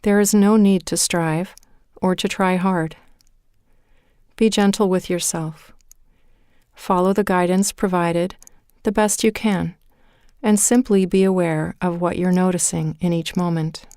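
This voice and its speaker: A woman leading a guided meditation in a calm, measured way, with deliberate pauses between phrases. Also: on the low side, clear, resonant tone, dry recording, studio quality. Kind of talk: guided meditation, scripted narration.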